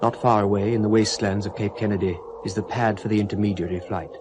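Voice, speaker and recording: A man's voice: fairly low pitch, fairly even intonation, quick pace, lightly acted. A man narrating an old radio drama in a grave, poetic manner, on a noisy recording.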